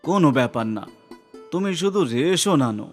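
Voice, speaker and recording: A man's voice: fairly high pitch, lively intonation, moderate pace, soft background music, close to an everyday voice. A man voicing a humble, caring village father and poor craftsman in a cartoon. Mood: reassuring. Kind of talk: cartoon voice acting.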